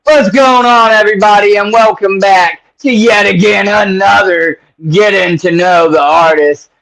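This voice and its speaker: A man's voice: high-pitched, a little slow, gravelly, a bit bright. A man hosting a casual interview over a call, talking in a laid-back, slangy, rambling way. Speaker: interview host. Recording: dull lo-fi sound.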